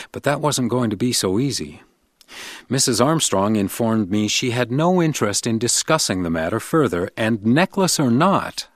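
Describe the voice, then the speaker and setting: A man playing a dogged, polite hotel detective in a radio drama, measured and dry in questioning and narration. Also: fairly low pitch, no echo. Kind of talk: radio drama dialogue and first-person noir narration.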